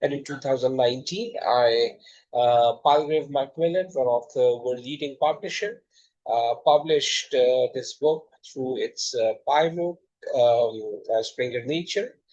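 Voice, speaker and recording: A man's voice: mid-range voice, a little slow, darkish tone, lo-fi sound. A middle-aged man with a Pakistani accent, giving a slide-based lecture that introduces his textbook, with frequent hesitant fillers.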